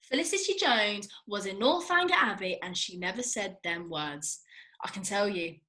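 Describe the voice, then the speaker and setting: A woman's voice: medium pitch, lively intonation, moderate pace, pushed and bright. A woman acting a feisty, blunt young working-class woman in a comedy script read, colloquial and sweary. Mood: indignant.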